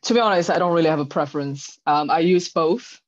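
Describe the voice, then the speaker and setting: A woman's voice: low voice, quick pace. Woman in her 30s from china, to the point, lots of ums, dull lo-fi audio. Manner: concise, hesitant with fillers. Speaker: interview guest, tech leader. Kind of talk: casual interview answers.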